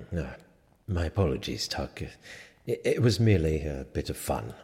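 An actor playing a smug, teasing medieval sheriff in an audio drama, with wry, formal and sometimes halting speech. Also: male voice, very deep voice, sing-song, dark tone, exaggerated voice. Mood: sheepish, awkward. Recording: long reverberant hall.

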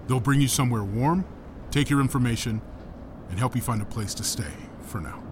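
A man's voice: medium pitch, brisk, background noise, lightly acted. A man playing a confident, easygoing rescuer in an audio drama, speaking in a casual, reassuring way.

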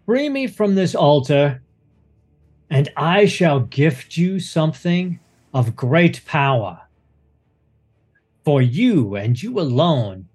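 A man voicing a female fire spirit bound to an altar, speaking slowly and grandly as she bargains. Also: on the high side, very animated, darkish tone, slightly rough, full character voice. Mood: bargaining.

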